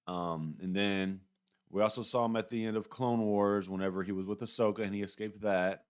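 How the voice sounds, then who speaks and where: A man's voice: lowish voice, fairly even intonation, normal speed, clean tone. A man giving a casual, unscripted review in a rambling, conversational way, often hedging as he goes. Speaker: YouTube reviewer.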